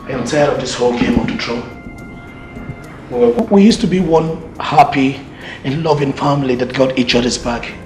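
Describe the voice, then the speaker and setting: A man's voice: medium pitch, moderate pace, reverberant, over music, close to an everyday voice. A man playing a weary, wounded prince. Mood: anguished. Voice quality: earnest, pained. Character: weary prince ready to give up the throne.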